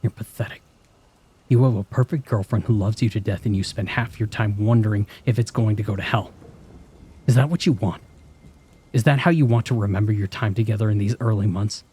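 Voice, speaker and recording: A man voicing a cruel, contemptuous male inner voice of self-loathing that mocks and yells. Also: on the low side, brisk, slight background noise, lightly acted. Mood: sneering. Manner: sneering, mocking, escalating to yelling.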